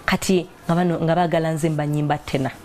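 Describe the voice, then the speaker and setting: A woman with a Ugandan accent talks through her career as a singer, her voice deep and low. She drifts between Luganda and English as she tells her stories. Faint noise sits under the recording. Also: flat delivery, quick pace, darkish tone. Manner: mixes Luganda and English, reflective storytelling. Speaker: singer and guest. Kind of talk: casual interview talk.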